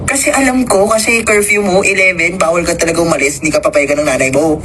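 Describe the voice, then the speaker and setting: A man's voice: high-pitched, fast. Laid-back young man on a noisy phone line. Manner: laid-back, joking, relaxed. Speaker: friend on the phone. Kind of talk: casual phone chat. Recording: noisy phone line heard over speaker.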